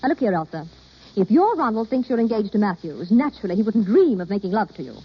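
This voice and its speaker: A woman's voice: mid-range voice, big pitch swings, quick pace, slightly rough. An actress playing a bossy, worldly woman confidante, no-nonsense and prodding, in vintage radio drama style. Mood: brisk, prodding. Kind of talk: 1930s-40s radio drama acting. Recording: dull old radio broadcast recording.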